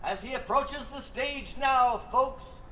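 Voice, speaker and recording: A man's voice: extremely high pitch, slow, sharp tone, exaggerated voice. An actor playing a showy male festival announcer in a fantasy audio drama, announcing grandly. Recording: echoing, faint noisy ambience.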